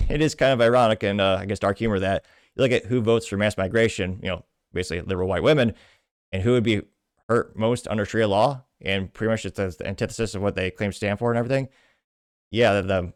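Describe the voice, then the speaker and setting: A man's voice: on the low side, no echo, studio quality. An American man hosting a podcast, reading posts aloud and giving informal, rambling political commentary. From Midwestern United States. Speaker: podcast host.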